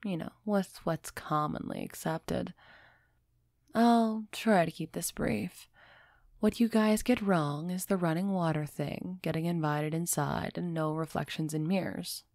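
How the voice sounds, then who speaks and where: A woman playing a refined, centuries-old female vampire in an intimate audio roleplay, gently imperious and softly teasing as she speaks straight to the listener. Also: on the low side, dry recording, clean studio sound. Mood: candid, measured. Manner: slow, measured, softly teasing, addressing listener directly.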